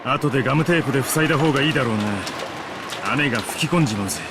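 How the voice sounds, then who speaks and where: A man playing a shrewd, casual middle-aged man with rough masculine speech in a Japanese visual novel, music behind him. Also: mid-range voice, racing, heavy background noise, close to an everyday voice. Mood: cool, musing.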